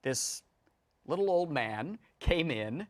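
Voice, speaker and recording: A man hosting a talk show, warmly prompting his guest with admiring questions. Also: on the high side, lively intonation, takes it slowly, no echo. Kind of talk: casual interview chat.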